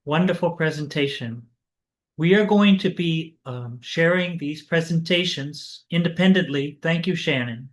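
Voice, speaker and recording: A man's voice: fairly high pitch, measured pace. A man moderating an online panel, courteously reading out audience questions over a video call.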